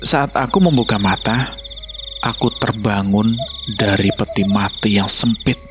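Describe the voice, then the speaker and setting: A man's voice: fairly low pitch, a little slow, noisy background. A man narrating a scripted horror story in a dramatic way.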